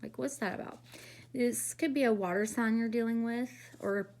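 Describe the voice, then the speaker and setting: A woman doing a casual tarot reading, her voice raspy from a cold, chatty and often checking in with "okay?". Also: a little high, moderate pace, a little mellow. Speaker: tarot reader.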